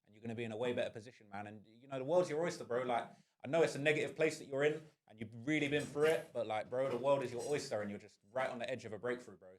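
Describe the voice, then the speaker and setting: A man's voice: medium pitch, very fast. A British man chatting casually and earnestly with street slang as a podcast interviewer.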